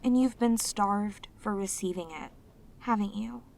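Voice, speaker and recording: A woman playing a sweet, clingy demoness in a romantic audio roleplay, speaking tenderly and haltingly with intense devotion. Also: medium pitch, vocal fry, darkish tone, a little hiss or hum. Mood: soothing, devoted. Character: sweet, devoted, clingy yandere demoness, long sealed away.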